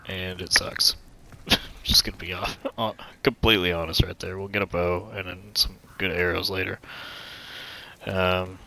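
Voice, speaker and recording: A man's voice: sits low, little pitch movement, unhurried, vocal fry, sharp tone, slight background noise. A man with a somewhat nasal voice giving laid-back, joking commentary on a game walkthrough through a headset mic that pops and cracks. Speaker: gaming channel host.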